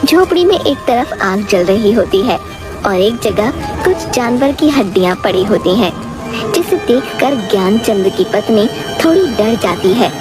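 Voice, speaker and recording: A woman tells a scripted story as its narrator, with music playing underneath. Her delivery is measured storytelling, set apart from the characters. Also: mid-range voice, very animated.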